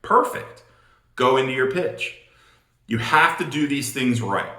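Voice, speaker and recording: A man delivers a sales coaching talk in a bright, forceful voice at a middle pitch. He is emphatic and informal, with blunt patter and repeated words for punch. The recording has a noticeably echoing room sound. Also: lively intonation, unhurried. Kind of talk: instructional talk on phone sales technique.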